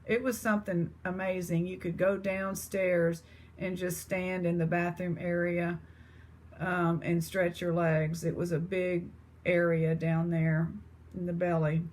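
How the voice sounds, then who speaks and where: A woman's voice: lowish voice, level tone, a little slow, darkish tone. A woman with an East Texas accent chatting casually to her viewers as an online seller. She rambles, with lots of fillers and restarts. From East Texas, USA.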